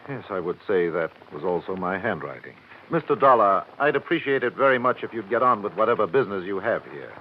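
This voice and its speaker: An actor playing a proud, impatient doctor with a formal, brusque manner in a 1950s radio drama. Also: male voice, lowish voice, expressive, a little fast, lightly acted. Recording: muffled, noisy old radio recording.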